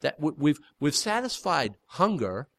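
Folksy preacher with a big voice. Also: male voice, a little high, sing-song, measured pace, gravelly, a bit bright. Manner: folksy, rambling, with frequent fillers and restarts. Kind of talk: informal sermon.

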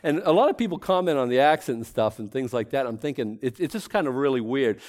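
Animated Boston-accented man preaching. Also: mid-range voice, quick pace. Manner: rambling, self-deprecating, with frequent restarts. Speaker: pastor. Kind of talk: conversational Bible teaching sermon.

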